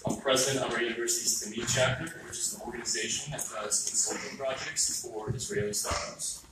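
A young man delivers a measured, earnest prepared statement in a large meeting hall. He is heard from far back, through a phone at the back of the room. Heavy reverb surrounds his voice, with a faint hiss underneath. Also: mid-range voice. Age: young adult. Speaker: student speaker at public comment. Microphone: phone recording from far back in the room.

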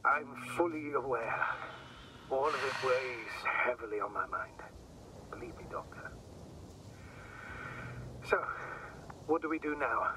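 A man's voice: medium pitch, slow, long pauses, noisy background, close to an everyday voice. An actor playing an earnest, guilt-burdened male mission commander in a dramatic sci-fi video game. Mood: remorseful.

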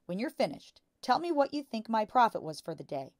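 A woman voicing a boastful, bullying father who deals in crooked cars, in a loud, hectoring manner for a storybook. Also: fairly high pitch, sing-song, fairly quick, no room sound, clean studio sound, pitched above the performer's own voice.

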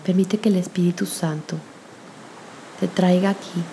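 A woman leading a guided spiritual meditation in a gentle, soothing manner with long pauses. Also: lowish voice, steady tone, slight background noise, rough recording.

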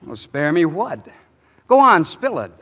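High-voiced, brash American GI. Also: male voice, very animated, unhurried, a bit bright, exaggerated voice. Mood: impatient. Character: brash, slangy young American soldier. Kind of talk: 1940s radio acting.

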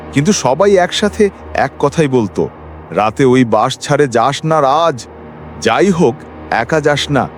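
A man narrating a scripted horror story in a dramatic, suspenseful, expressive way. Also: fairly high pitch.

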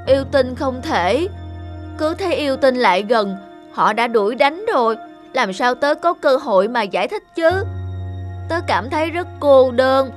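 A woman voicing a lonely, misunderstood young goblin in a cartoon, speaking slowly and plaintively. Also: high-pitched, expressive, soft background music. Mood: sad, wistful. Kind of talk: Vietnamese cartoon voice acting.

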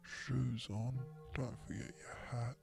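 An Australian man leading a tongue-in-cheek guided meditation in a breathy voice that often drops to a whisper, rambling and repeating himself. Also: medium pitch, level tone. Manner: slow, drawn-out, rambling and repetitive. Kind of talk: comedic guided meditation, ASMR-like. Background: background audio music.

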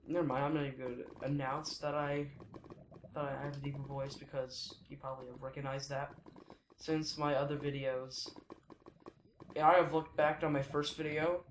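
A teenage boy giving rambling, chatty commentary while playing a video game, often correcting himself mid-sentence. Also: medium pitch. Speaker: gaming YouTuber. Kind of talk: casual Let's Play commentary. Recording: echoey room with noise behind.

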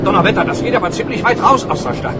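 A genial male police inspector, pitched very high for a man. He is lively, amused and watchful, in a children's audio play with clear noise behind. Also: very fast. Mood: alert. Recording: noisy old vinyl audio play.

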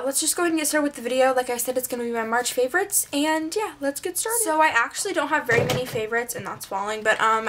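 A woman's voice: high-pitched, fairly bright tone. A woman reviewing her favorite beauty products in a chatty, rambling way while losing her voice and congested, recorded on a close camera in a small bedroom. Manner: chatty, rambling, filler-heavy. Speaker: beauty vlogger. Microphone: camera mic at close range.

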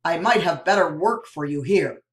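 A woman reading aloud, voicing a rough-faced male border guard who talks gruffly and louder than her narration. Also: low-pitched, animated, normal speed, reverberant. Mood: leering.

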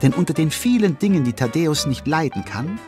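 A man playing a cheerful storyteller, narrating a German children's cartoon audio drama with animated delivery. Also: a little high, brisk, over music, close to an everyday voice. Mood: wry, lively.

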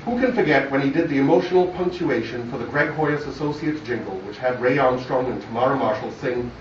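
A man with a Barbadian accent delivers a scripted memorial speech about his father in measured, formal storytelling fashion. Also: medium pitch, a little hiss or hum. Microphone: phone camera far from the speaker. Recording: distant, muffled phone recording, echoey room.

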